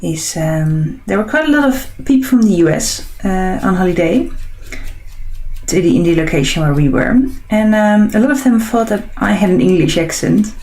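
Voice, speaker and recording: A woman's voice: medium pitch, expressive, moderate pace, darkish tone, poor audio. A woman with a Dutch accent, an artist and host chatting casually while she sketches, musing and meandering with frequent ums and restarts. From Netherlands.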